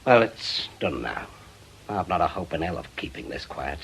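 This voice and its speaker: A man's voice: sits low, sing-song, normal speed, vocal fry, fairly bright tone, a little hiss or hum, heavily acted, poor audio. An actor with a British accent playing a brusque, sardonic, middle-aged retired policeman in a radio drama. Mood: bitter. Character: gruff, bitter retired policeman, brusque and proud.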